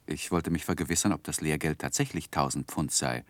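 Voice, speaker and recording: An actor playing an earnest, polite young gentleman who tells his life story in a 1960s radio drama, with measured literary delivery. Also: a bass voice, dry recording. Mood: reflective, narrating.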